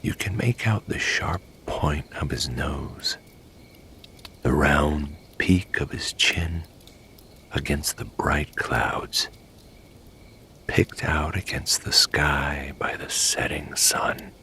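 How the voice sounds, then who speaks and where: Extremely deep, hushed man telling bedtime story. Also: slow, whispered. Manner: hushed, lingering, descriptive. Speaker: sleep story narrator. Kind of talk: scripted bedtime story narration.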